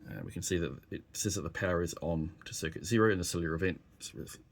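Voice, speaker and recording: A man with a low-pitched voice talks through a hands-on hardware demo in a casual, halting way, thinking aloud as he goes. His voice has a clear creaky fry, and there is light background noise with keyboard typing and clicks. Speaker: developer demonstrating hardware. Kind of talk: casual live technical demo, talking while working. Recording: noisy room with keyboard clicks. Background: typing and clicking at a workbench keyboard.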